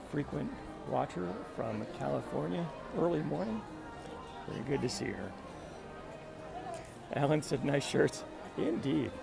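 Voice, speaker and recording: Gravelly-voiced man livestreaming over background music. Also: mid-range voice. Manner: friendly, greets viewers by name. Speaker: livestream host. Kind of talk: casual walking livestream commentary, reading out viewer comments. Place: outdoors in a busy theme park. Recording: outdoor park with loud background music.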